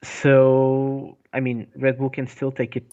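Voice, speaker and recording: A man's voice: mid-range voice, monotone, slow, darkish tone, lo-fi sound. A man co-hosting a casual podcast chat, speaking hesitantly with restarts and fillers. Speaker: podcast co-host. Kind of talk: casual chat about motor racing.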